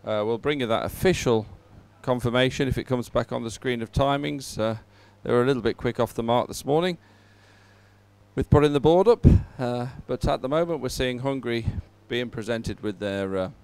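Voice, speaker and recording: Casting: male live event commentator, smooth clear voice, informal and chatty, hesitant thinking-aloud delivery. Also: medium pitch, moderate pace, darkish tone, dry recording.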